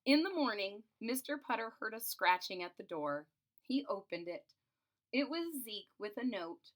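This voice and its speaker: A woman's voice: fairly high pitch, very animated, moderate pace. A woman reading a children's storybook aloud expressively, drawing out phrases and adding explanatory asides about the pictures. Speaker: storybook reader and channel host.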